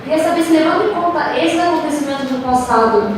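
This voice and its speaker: A woman's voice: high-pitched, fast. A young Brazilian woman putting questions from the audience in an informal, hesitant way. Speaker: audience member asking questions. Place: large echoing room at a university event. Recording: very echoey room, distant mic, clear noise.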